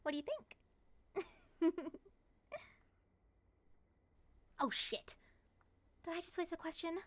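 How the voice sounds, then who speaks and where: A woman playing a playful, bubbly moth-human monster in an ASMR roleplay, coaxing and teasing in an expressive way. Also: very high voice, takes it slowly, no echo.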